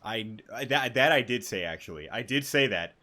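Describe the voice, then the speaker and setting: A man's voice: medium pitch, lively intonation, moderate pace, pushed and bright. A young man giving casual gameplay commentary, rambling and thinking aloud in slangy, sweary speech. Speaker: gaming YouTuber.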